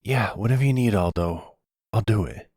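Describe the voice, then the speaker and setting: Man playing a husky, brash young son. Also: on the low side, normal speed, darkish tone, no echo, clean studio sound, voice pushed up. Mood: sulky, startled. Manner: defensive, casual, emotional. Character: troubled young adult son, brash, sulky, remorseful. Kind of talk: ASMR roleplay audio drama acting.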